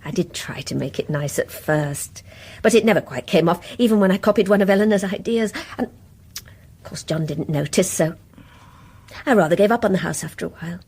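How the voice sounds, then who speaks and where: A woman's voice: fairly low pitch, a little fast, darkish tone, husky. An actress playing a self-doubting married neighbour in a British radio play, reticent and wistful as she confides. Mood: wistful, confiding.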